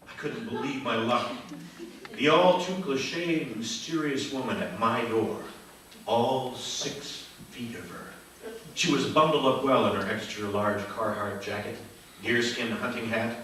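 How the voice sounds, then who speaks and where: A man playing a wry mystery writer, narrating a noir parody deadpan in live radio theater. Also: lowish voice, measured pace. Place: theater stage, live performance. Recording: echoey theater, distant, faint noise.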